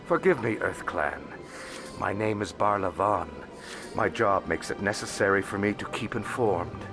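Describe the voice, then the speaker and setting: A man's voice: on the low side, lively intonation, unhurried, gravelly. A man playing a shrewd, courteous alien financier in a video game, speaking formally and discreetly over music. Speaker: financial advisor and information dealer character. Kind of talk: video game dialogue acting. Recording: music behind the voice.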